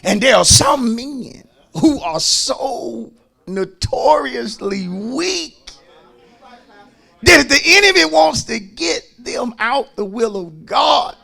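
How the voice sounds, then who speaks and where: An American man preaches as a pastor in a high, raspy voice with a forceful edge. His delivery is slow and emphatic, full of folksy repetition. It swings widely between hushed asides and full-throated shouting. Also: expressive. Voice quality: raspy, gravelly. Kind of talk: fervent sermon.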